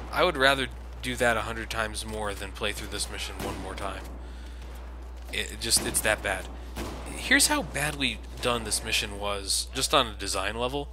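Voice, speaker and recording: A man's voice: fairly low pitch, background noise. A man giving casual, sardonic and complaining commentary over video game footage, with some swearing. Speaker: let's play commentator. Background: video game sounds.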